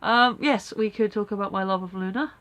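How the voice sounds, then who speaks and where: A woman's voice: mid-range voice, brisk, a bit bright. A woman with a stuffy nose from a cold, rambling casually with many fillers in a daily video diary from home. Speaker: vlogger.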